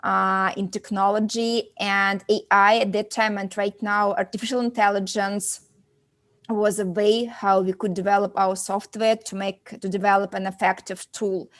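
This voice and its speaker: A woman's voice: medium pitch, level tone, moderate pace, fairly bright tone. A woman from Russia with a Russian accent, answering as an interview guest in a conversational way, often repeating and rephrasing as she explains. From Moscow, Russia. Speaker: interview guest, company founder and doctor.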